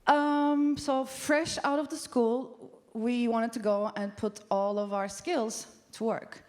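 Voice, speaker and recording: A woman's voice: fairly high pitch, flat delivery, slow pace, darkish tone. Turkish woman presenting on a festival stage. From Turkey. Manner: casual, with frequent um and uh fillers. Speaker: studio co-founder giving a festival talk. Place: large festival venue stage.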